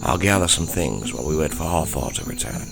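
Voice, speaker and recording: A man playing a gruff, blunt trapper and father in a fantasy audio drama, speaking in dry, formal phrases. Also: extremely low pitch, animated, brisk, over music, heavily acted. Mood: determined. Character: gruff father and trapper in a fantasy swamp forest, eager to act.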